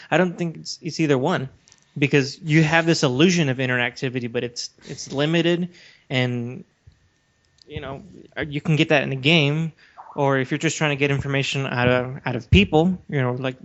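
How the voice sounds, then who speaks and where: A man co-hosting a podcast, explaining his opinions in casual, argumentative discussion. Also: a little high. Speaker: podcast co-host. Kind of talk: casual discussion of video games. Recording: dull, lo-fi voice recording.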